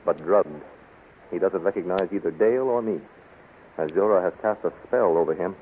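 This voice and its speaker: An actor playing an enslaved army captain in a 1930s radio serial, anxious and earnest, with urgent, formal speech. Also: male voice, low voice, moderate pace, narrow, tinny audio, lightly acted. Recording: noisy, very thin 1930s radio recording.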